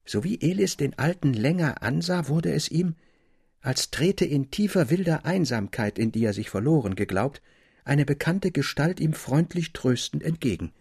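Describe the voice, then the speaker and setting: A man reading a literary story as narrator, articulate and expressive in scripted audiobook style. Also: fairly high pitch, moderate pace, vocal fry, husky, no echo, clean studio sound.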